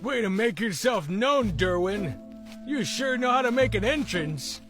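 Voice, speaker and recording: A fawning sidekick to a cartoon villain king. His voice is extremely high, nervous and hesitant, with music clearly behind it. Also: expressive, measured pace. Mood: fawning, eager. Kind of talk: animated cartoon voice acting.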